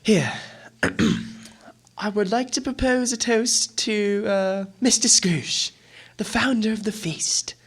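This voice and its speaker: A performer playing a kindly, humble family father, hesitant and gentle in a radio drama. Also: mid-range voice, expressive, takes it slowly, breathy edge. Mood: hesitant toasting. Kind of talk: school radio drama acting.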